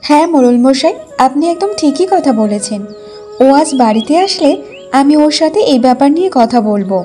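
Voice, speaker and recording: A woman's voice: high-pitched, lively intonation, a little fast, mellow, soft background music. A woman voicing a young, earnest village wife in a cartoon story. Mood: agreeable. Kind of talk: Bengali cartoon story voice acting.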